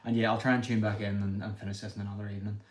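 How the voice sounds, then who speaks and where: Fast-talking Northern Irish man, low flat voice. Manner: thinks aloud, self-deprecating, apologetic; talks quickly. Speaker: livestreamer building a brick set. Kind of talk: casual livestream chat while building. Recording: echoey room with faint noise.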